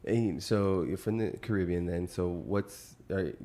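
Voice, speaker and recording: A man's voice: low voice, unhurried, dark tone. A man hosting a podcast, chatting casually and keenly, with loose, swearing reactions. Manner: enthusiastic, casual and profane. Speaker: podcast host. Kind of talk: casual interview chat.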